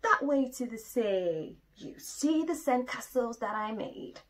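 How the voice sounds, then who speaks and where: A woman's voice: fairly high pitch, lively intonation, slow pace. A woman voicing a friendly, helpful tortoise in a children's story.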